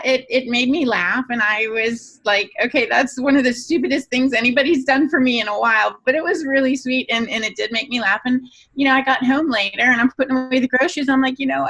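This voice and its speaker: A woman's voice: sits high, a bit bright. A woman co-hosting a podcast, chatting casually and playfully as she tells personal anecdotes. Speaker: podcast co-host.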